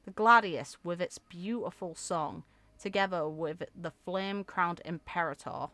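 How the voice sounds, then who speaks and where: A woman reading video game story text aloud in an expressive, theatrical way, as a content creator. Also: fairly low pitch, unhurried. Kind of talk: reading game lore aloud with reaction asides.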